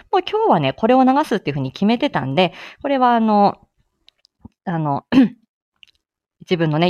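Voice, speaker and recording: A woman's voice: on the low side, darkish tone. A woman hosting a casual morning livestream, chatting in a giggly, exclaiming way. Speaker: live audio show host.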